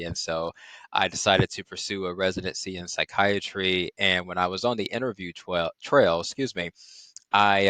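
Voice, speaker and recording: A middle-aged man from Chicago, a psychiatrist guest on a podcast, speaking in a measured way with many "um" fillers. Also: low-pitched, bright, forceful tone, no room sound, low-quality recording. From Chicago, Illinois. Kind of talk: podcast interview.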